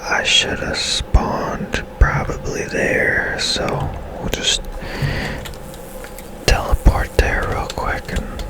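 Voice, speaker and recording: A video game host speaks entirely in a soft, breathy whisper. The delivery is slow and gentle, with unhurried pauses, in the style of ASMR gameplay commentary.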